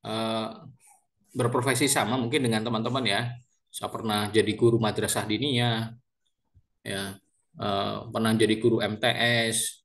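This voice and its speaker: A man's voice: lowish voice, a bit bright. A man in his thirties, a university lecturer with a Banyumasan ngapak Javanese accent, introducing himself to his class in a chatty way with many fillers and repeated words. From Central Java, Indonesia. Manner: lecturer addressing students politely.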